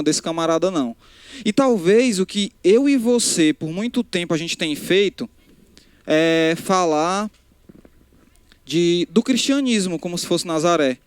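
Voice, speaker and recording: Young preacher, higher-pitched voice, smooth and clear, dry close mic, speaks conversationally to a live crowd. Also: male voice, no room sound. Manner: conversational, addresses crowd directly, frequent restarts. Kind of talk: casual live sermon. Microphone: handheld microphone.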